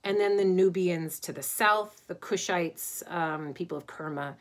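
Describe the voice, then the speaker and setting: A middle-aged woman, an Egyptologist, lecturing informally to a webcam from her home study, thoughtful and pausing with many ums and restarts. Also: lowish voice. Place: home study with bookshelves, indoors.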